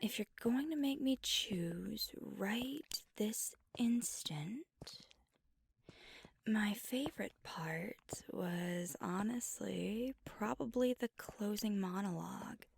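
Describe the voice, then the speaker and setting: A woman playing a flirty, teasing, affectionate vampire on a date in an intimate ASMR roleplay, speaking softly and often dropping to a whisper. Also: mid-range voice, gravelly, a little hiss or hum. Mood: earnest, reflective. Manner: playful, teasing, slow and intimate. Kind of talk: ASMR roleplay, intimate one-sided dialogue.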